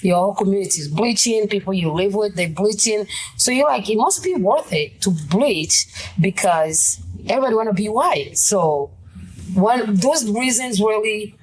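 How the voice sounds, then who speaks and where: A woman from Congo, a talk show guest speaking in a relaxed, personal way with hesitant fillers. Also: medium pitch, moderate pace, slight background noise, rough recording. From Democratic Republic of Congo. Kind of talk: casual talk show discussion.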